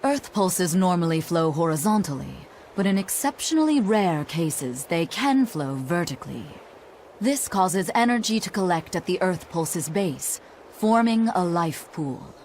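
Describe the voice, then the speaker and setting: A woman playing a calm, knowing scholar in a fantasy video game, explaining things in a measured way. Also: fairly low pitch, a little hiss or hum. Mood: calm, explanatory.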